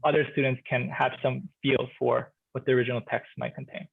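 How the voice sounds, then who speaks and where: A man speaking as a literature professor in a relaxed expert discussion, thoughtful and hesitant, with many restarts and filler sounds. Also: medium pitch, a little fast, vocal fry, husky, narrow, tinny audio.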